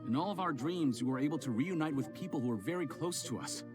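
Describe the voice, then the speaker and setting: A man's voice: on the high side, lively intonation, fast, over music, natural voice. A man voicing a grieving townsman in a game who speaks in a hesitant, pleading way. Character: grieving townsman, reluctant dreamer.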